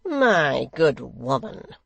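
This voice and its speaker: A reader voicing a meek but severe male secretary, a sly, sanctimonious flatterer with unctuous, drawn-out emphasis. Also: medium pitch, vocal fry, no echo, full character voice. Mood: mock indignation.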